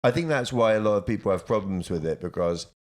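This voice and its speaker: A loud young man co-hosting a podcast, his voice deep and low. He tells stories with a swinging, animated lilt and swears casually. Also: fast. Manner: loud, lively storytelling with swearing. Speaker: podcast co-host. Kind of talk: casual chat.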